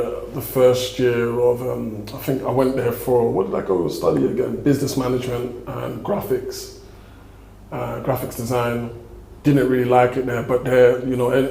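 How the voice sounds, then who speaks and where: A man's voice: mid-range voice, fairly even intonation, normal speed, gravelly, mellow. A young man from South London with a South London accent, a guest giving laid-back, rambling answers in a casual interview. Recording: echoey room with faint noise.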